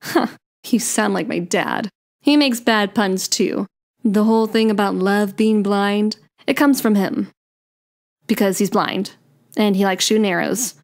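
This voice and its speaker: A woman playing a playful, sweet young daughter of Cupid in an ASMR romance roleplay, coy and gently teasing. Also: mid-range voice, a little slow, lightly acted. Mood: warm, playful.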